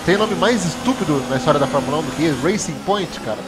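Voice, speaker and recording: A man's voice: on the high side, animated, fast. A man from Brazil giving casual, joking commentary while he races in a simulator game. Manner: joking, exclamatory, chatty. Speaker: gaming streamer. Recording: clear background noise from game engine.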